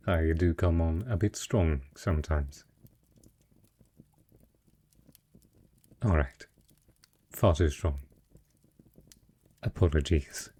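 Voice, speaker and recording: A possessive, refined dragon in the guise of a rich patron , played by a man, speaks in a deep, husky voice. The delivery is unhurried and effusively eloquent, made for romantic fantasy roleplay with the listener. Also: warm tone. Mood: sheepish, courteous. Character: dragon disguised as a wealthy male patron, possessive, courtly, effusive.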